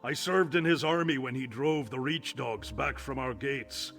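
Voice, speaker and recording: A man's voice: mid-range voice, measured pace, fairly bright tone. An actor playing an earnest male guard commander in a video game, with background music. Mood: grave. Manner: earnest, grave, soldierly. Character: male city guard commander, steady and loyal.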